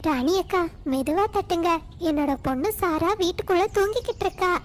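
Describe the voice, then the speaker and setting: A woman voicing a polite, caring mother sparrow who gives respectful advice in an animated moral story. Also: extremely high pitch, a little fast, slight background noise, voice pushed up. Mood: polite, concerned. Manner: respectful, gently advising.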